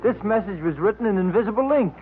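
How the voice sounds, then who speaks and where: An eager sidekick in an old detective serial. He pitches high and sounds earnest, a little puzzled and excitable. The sound is tinny and boxed-in, like 1940s radio. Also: fast, a little hiss or hum. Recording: tinny vintage radio recording.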